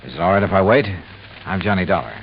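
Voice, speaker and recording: A man's voice: deep voice, expressive, quick pace. Wry male American investigator, sardonic and clipped, swinging intonation, vintage radio drama, muffled recording. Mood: polite, probing. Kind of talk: 1950s radio detective acting and narration. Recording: dull, very noisy old radio recording.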